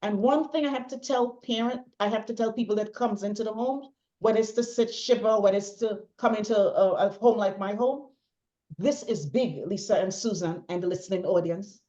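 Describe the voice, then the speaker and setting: Middle-aged female interview guest, chatty and emphatic, repetitive phrasing, muffled low-fidelity audio. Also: normal speed, mellow. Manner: talkative, emphatic, repeats phrases for stress. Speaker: interview guest, bereaved mother. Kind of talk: personal testimony in conversation.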